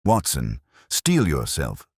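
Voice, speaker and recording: Calm, commanding male detective for a mystery audiobook read by a man: very deep, husky, measured and theatrical. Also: no echo, studio quality, heavily acted. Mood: grave, intense. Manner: measured, theatrical, authoritative pronouncements. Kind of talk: audiobook character dialogue.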